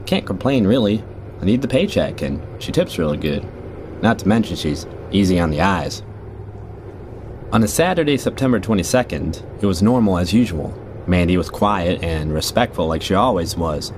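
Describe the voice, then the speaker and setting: Jaded male rideshare driver, played by a man, audio drama narrator, wry and conversational, lowish lively delivery over clear street noise. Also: normal speed. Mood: wry, casual. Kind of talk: first-person scripted audio drama narration. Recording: noisy, car and street ambience behind.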